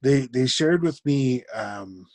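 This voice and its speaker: A man, a guest in an interview, talking reflectively and haltingly, with frequent restarts. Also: medium pitch, lively intonation, no room sound. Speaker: podcast guest. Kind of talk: conversational interview.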